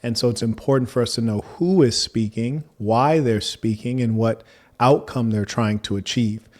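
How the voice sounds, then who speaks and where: A man hosting a debate, speaking in a measured, formal manner and asking probing questions. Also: medium pitch, a little mellow. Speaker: debate host.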